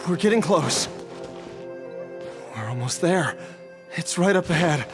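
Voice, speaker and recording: A man voicing a troubled, ailing young man in a video game, halting and anguished. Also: a little high, expressive. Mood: feverish longing. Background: game music.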